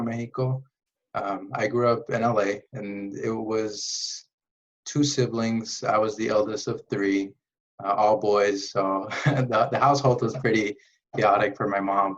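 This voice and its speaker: Low-voiced young man, muffled sound. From Los Angeles, USA. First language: Spanish. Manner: relaxed, laughs lightly, restarts words. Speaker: interview guest, engineer. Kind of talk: casual conversation.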